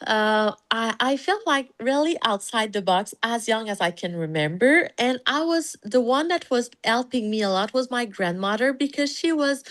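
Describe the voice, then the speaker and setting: A woman from Canada with a French Canadian accent, her first language French, talking as a podcast guest in a relaxed interview, often pausing and restarting as she looks for words. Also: a little high. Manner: searching for words, many fillers and restarts. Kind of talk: conversational interview.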